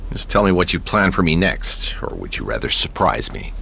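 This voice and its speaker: An actor in a radio drama playing a wary, sardonic jazz pianist who talks in a curt, gravelly voice. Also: male voice, low voice, sing-song, normal speed, pushed and bright, a little hiss or hum, exaggerated voice. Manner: curt, sarcastic, suspicious.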